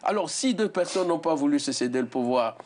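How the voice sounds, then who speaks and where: A man's voice: on the high side, animated, a little fast, a little mellow. A man speaking as a lawyer guest on a televised debate, indignant and pleading, with frequent hesitations.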